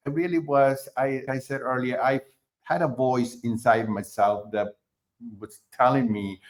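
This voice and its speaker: A middle-aged man from Argentina, a concert pianist being interviewed, who talks in a halting way with many fillers and restarts. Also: medium pitch. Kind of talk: conversational interview.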